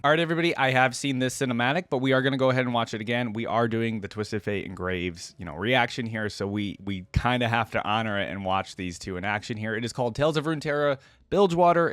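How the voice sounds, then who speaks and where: A man's voice: mid-range voice, a bit bright, dry recording. A man hosting a reaction video, talking casually to viewers, musing aloud and reading game text.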